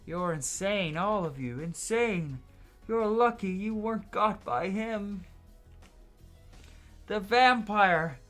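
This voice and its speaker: A player acts out a paranoid video game witness, frantic about horrors. The delivery is loud, high and theatrical, with words stretched out for drama. Soft game music plays underneath. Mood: frantic, horrified. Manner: loud, dramatic, drawn-out exclamations.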